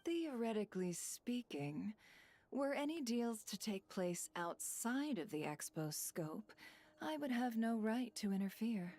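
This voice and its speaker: A woman's voice: a little high, very animated, measured pace, warm tone, heavily acted. A woman playing a poised, calculating guild broker in a video game, formal and self-possessed. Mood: guarded.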